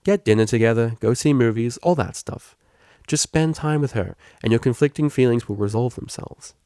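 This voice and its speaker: A man voicing an energetic, kindly male pony tutor, understanding and playful, with an encouraging, informal manner. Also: medium pitch, moderate pace, smooth, no room sound, clean studio sound, lightly acted. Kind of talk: fan fiction audiobook character reading.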